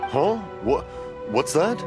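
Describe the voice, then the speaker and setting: Swooping, cheerful old game dad. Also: male voice, fairly high pitch, sing-song, slow, loud music behind the voice. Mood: cheerful, oblivious. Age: older. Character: cheerful, hard-of-hearing old father, a fireworks maker. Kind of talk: English anime game dub.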